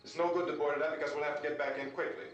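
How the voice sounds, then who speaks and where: A man's voice: a little high, flat delivery, rapid-fire, faint music behind, natural voice. Actor doing a bossy practical survivor guy from a 60s horror film, firm orders, echoey dull old audio. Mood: commanding. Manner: firm, instructing. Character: commanding, practical survivor leading a group in horror. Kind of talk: 1960s film acting.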